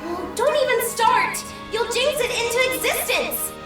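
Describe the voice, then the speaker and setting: Squeaky, excitable little lookout girl. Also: a little slow. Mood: alarmed. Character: excitable, perky little female ship lookout. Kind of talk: English video game voice acting. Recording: loud game music behind the voice.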